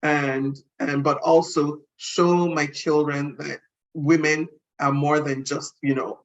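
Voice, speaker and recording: A woman's voice: sits low, level tone, slow pace, a little hiss or hum. Earnest woman on a dull video call. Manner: earnest, reflective, with frequent restarts. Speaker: guest speaker. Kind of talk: conversational interview answers.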